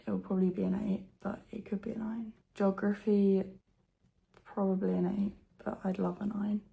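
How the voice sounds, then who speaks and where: A teenage girl vlogging at home, her voice croaky from just waking up, talking softly and half-whispering. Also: medium pitch, little pitch movement, moderate pace. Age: teens. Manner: soft, half-whispered, nervous chatter. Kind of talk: casual to-camera vlog. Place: at home indoors.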